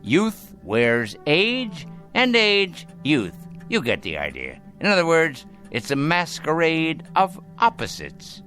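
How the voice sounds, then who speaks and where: An actor playing a sly, imperious old grandfather in a radio drama, speaking deliberately and theatrically, with a sardonic, probing manner. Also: on the high side, huge pitch swings, pushed and bright, soft background music, heavily acted. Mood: sly, relishing. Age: older. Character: sly, imperious dying grandfather toying with his heirs.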